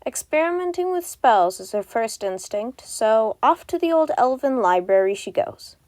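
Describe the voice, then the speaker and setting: A woman's voice: a little high, big pitch swings, moderate pace, a little mellow, no echo. A woman narrating a fan fiction story as an amateur audio reading. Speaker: podfic narrator.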